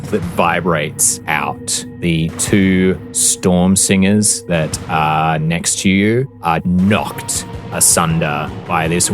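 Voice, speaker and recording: A man working as a game master, narrating a tabletop fantasy game in a measured, deliberate, descriptive storytelling manner. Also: low-pitched. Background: background music.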